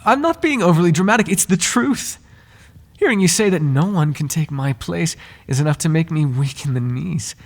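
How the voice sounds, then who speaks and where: Man acting as a husky, devoted flirtatious butler. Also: on the high side, lively intonation, moderate pace. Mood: adoring, lovestruck. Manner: formal, courtly wording, teasing and tender. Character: devoted, lovesick, flirtatious male butler to his master. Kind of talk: intimate ASMR roleplay acting.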